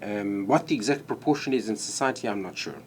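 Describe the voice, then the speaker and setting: A man's voice: on the low side, quick pace, slight background noise. Courteous male diplomat from South Africa. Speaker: diplomat, guest. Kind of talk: interview answers.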